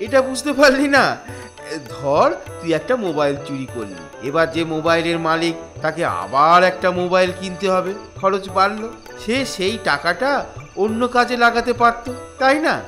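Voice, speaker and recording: A man's voice: high-pitched, expressive, moderate pace, bright, forceful tone, soft background music. A man voicing a preachy, earnest older schoolmaster in a comic cartoon, lecturing emphatically.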